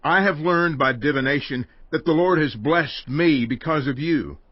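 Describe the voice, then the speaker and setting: A man's voice: a little high, normal speed, no echo, muffled recording, natural voice. An actor playing a wheedling, wealthy livestock-owning father-in-law in a dramatized audio Bible. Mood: persuasive.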